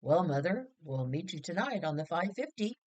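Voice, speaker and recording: A woman's voice: lowish voice, very animated, moderate pace. Woman as a hearty, emphatic banker husband. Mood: sociable. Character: sociable middle-aged banker husband, chatty and solicitous. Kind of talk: storybook character voice.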